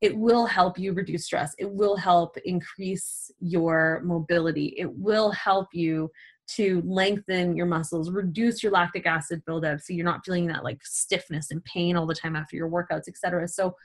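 A woman co-hosting a casual podcast about stretching and wellness, animated, laughing often and filling her talk with "like" and "um". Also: on the low side, moderate pace. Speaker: podcast co-host.

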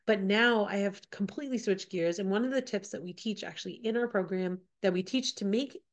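A woman's voice: mid-range voice, brisk. A woman in her forties talking through a casual podcast episode, chatty and repetitive, her voice a little off from a cold. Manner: chatty, repeats points, many "like" and "right?". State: getting over a cold, slightly off voice. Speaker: podcast co-host. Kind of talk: casual solo podcast talk.

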